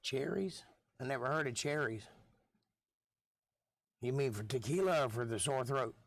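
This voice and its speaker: A middle-aged man talks in a relaxed, folksy way to the viewers of his live stream. His voice is hoarse and worn because he is losing it. He sits at a mid pitch, and the sound is dry, with no room around it. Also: moderate pace, no room sound. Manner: laid-back, chatty, folksy. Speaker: live stream host and musician.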